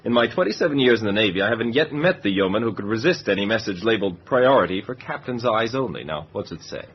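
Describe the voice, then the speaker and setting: Seasoned male sub captain, gruff and wry, husky, expressive, muffled radio-play sound. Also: lowish voice, fast. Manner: dry, wry, commanding. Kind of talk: radio drama acting. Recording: dull, lo-fi radio drama sound.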